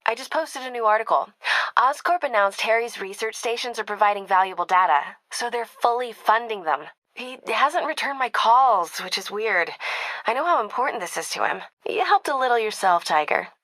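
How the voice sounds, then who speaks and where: An American actress playing a young woman reporter in a video game, caring, wry and quick-witted, in natural conversational dialogue. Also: fairly high pitch, lively intonation, a bit bright, dry recording. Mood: earnest.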